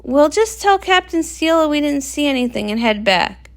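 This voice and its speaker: A woman's voice: high voice, big pitch swings, normal speed. A woman voicing a timid, cautious and reluctant pony guard who tends to whine, in a storybook. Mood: pleading.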